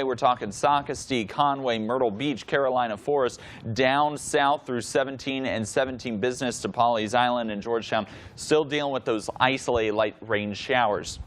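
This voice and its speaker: A man delivering a TV weather forecast in a steady, clear broadcast manner, reading through places, times and temperatures. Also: mid-range voice.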